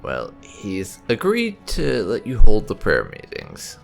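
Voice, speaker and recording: A man's voice: lowish voice, wildly swooping pitch, slow pace, gravelly, soft background music. A man doing roleplay commentary over a video game, musing and replying in character. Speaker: gaming YouTuber playing in character. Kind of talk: roleplay let's play commentary.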